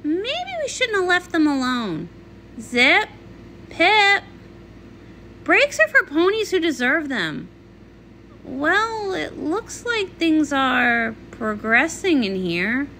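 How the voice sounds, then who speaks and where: A woman performing an animated comic read-along, acting out the characters. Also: sits high, takes it slowly, slight background noise.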